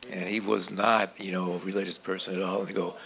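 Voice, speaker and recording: An older man telling an anecdote to an audience in a casual, halting, conversational way. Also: low-pitched, level tone, vocal fry, a bit bright, husky. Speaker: panel speaker. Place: hotel conference room with audience. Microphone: handheld microphone.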